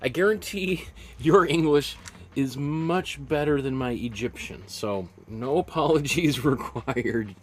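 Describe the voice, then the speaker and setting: A male painting instructor leading a live-streamed lesson, thinking aloud as he works and chatting with viewers in a relaxed, friendly way, sometimes dropping to soft murmured asides. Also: medium pitch, slow, slight background noise.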